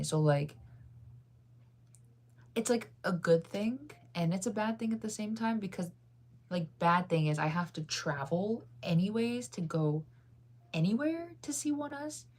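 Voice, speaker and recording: A woman's voice: on the low side, darkish tone. A woman chatting casually with her viewers, rambling through stories with lots of fillers and frequent laughter. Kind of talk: casual chat.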